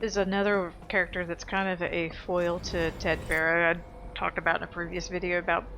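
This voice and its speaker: A woman's voice: on the low side, little pitch movement, a little fast, noisy background. A woman giving casual gameplay commentary, talking informally as she goes through the game.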